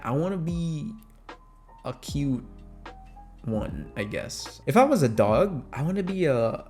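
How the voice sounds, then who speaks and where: A man's voice: medium pitch, darkish tone. A young adult man chats casually and informally as an audio creator answering fan questions, close on the microphone. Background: music.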